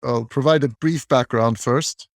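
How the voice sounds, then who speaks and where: A middle-aged man from Turkey speaks at a middle pitch with a gravelly, creaky edge. He answers interview questions in a measured, explanatory way. The recording is dry and close, with no room sound. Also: no room sound. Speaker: guest, bank technology executive.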